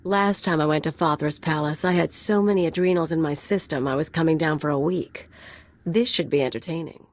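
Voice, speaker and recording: Brash young female mercenary, lowish voice, sardonic drawl, cocky, sly, video game dialogue. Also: a little fast. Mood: wry, amused.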